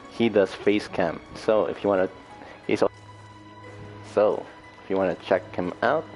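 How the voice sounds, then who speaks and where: A man's voice: on the low side, darkish tone, lo-fi sound. A man giving casual livestream gameplay commentary, rambling and often correcting himself. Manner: halting, rambling, self-correcting. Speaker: livestream host. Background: faint game music.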